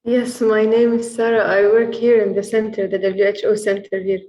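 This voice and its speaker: A woman's voice: mid-range voice, level tone, normal speed, dark tone. A woman chatting casually on a video call, polite and a little hesitant in how she talks. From born in the United States, of Jordanian descent. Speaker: medical education center staff, former interpreter. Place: indoor room, on a video call. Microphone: computer video call microphone. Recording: roomy, echoing video call audio.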